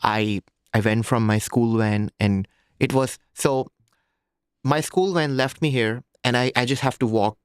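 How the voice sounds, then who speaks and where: Clear young Pakistani-accented man, emphatic. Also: mid-range voice, a little slow, no room sound, studio quality. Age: 20s. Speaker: podcast guest. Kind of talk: recounting personal story in an interview.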